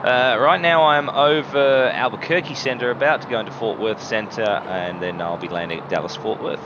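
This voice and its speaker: A man's voice: medium pitch, moderate pace. A man co-hosting a live stream in casual, earnest chat. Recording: dull lo-fi remote link, loud vehicle noise behind.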